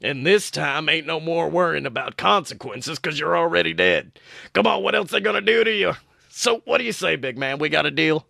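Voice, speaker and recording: A man voicing a pushy undead trickster who wheedles like a folksy, fast-talking salesman in a podcast story. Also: on the high side, expressive, creaky, sharp tone, no room sound, clean studio sound, heavily acted. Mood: wheedling. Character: sleazy decrepit undead trickster ghoul, pushy pitchman.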